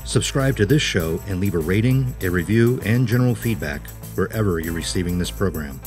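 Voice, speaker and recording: A man reading a scripted outro and credits as announcer, formal and measured. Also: sits low, lively intonation, darkish tone, faint music behind.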